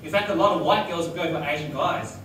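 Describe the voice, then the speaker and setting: Young male stand-up, high-ish voice, deadpan delivery, live bar recording from far back. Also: fast, slight background noise. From Australia. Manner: deadpan one-liners with laughing asides. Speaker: stand-up comedian. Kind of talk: stand-up comedy set. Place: small restaurant bar, live audience. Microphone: handheld mic, filmed from far back. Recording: echoey room from far off, loud crowd.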